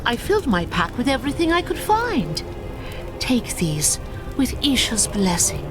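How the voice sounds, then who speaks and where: A resolute elf warrior. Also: female voice, on the high side, wildly swooping pitch. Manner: formal, determined, measured speech. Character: resolute elf warrior woman, noble and driven. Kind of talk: game cutscene voice acting. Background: orchestral game music.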